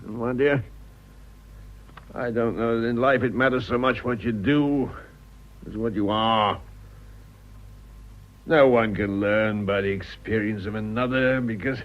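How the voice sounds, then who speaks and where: A man playing a dry, worldly-wise English lord with a Received Pronunciation accent, measured and philosophical, in a vintage radio drama. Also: mid-range voice, close to an everyday voice. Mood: reflective. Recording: muffled old radio recording.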